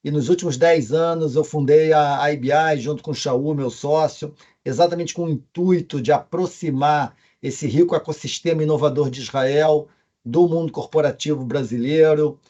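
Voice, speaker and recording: A man with a marked Carioca accent gives event remarks from abroad over a video call. His voice is mellow, and he pauses often on fillers. The audio is dull and lo-fi. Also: a little high. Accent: Carioca Portuguese. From Brazil. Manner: hesitant, with frequent fillers. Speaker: company co-founder speaking remotely. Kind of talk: presentation remarks.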